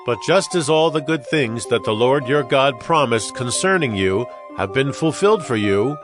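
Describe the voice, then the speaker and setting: A man's voice: medium pitch, expressive, moderate pace, recorded from a distance, lightly acted. Solemn aged leader played by a man, music behind. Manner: solemn, declamatory, emphatic. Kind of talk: dramatized scripture oratory.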